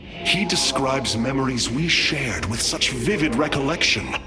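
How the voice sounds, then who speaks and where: Guy voicing noble alien leader from a video game, formal n troubled, really expressive pitch, big loud music under it. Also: close to an everyday voice. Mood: sorrowful. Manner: formal, measured, grave. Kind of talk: dramatic game cinematic acting. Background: loud cinematic music.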